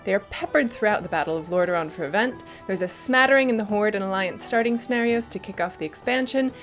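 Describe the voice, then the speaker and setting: A woman's voice: medium pitch, fast, a bit bright, faint music behind. A woman giving chatty, enthusiastic and emphatic scripted commentary as the host of a gaming news show.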